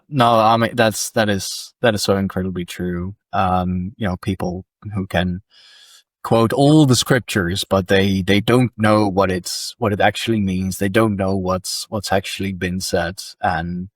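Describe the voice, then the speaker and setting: A young man from the Netherlands hosts a podcast in a lowish voice. He speaks hesitantly, repeating words as he restarts his sentences. The sound is dry. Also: steady tone, slow pace, dry recording. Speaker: podcast host. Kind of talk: conversational interview.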